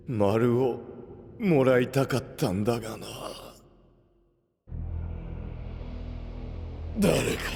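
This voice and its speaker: An actor playing a possessed young would-be knight, rough and brusque, desperate for approval, in an anime-style audio drama. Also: male voice, medium pitch, darkish tone. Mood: bitter longing. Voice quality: gravelly. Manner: rough, brusque masculine speech.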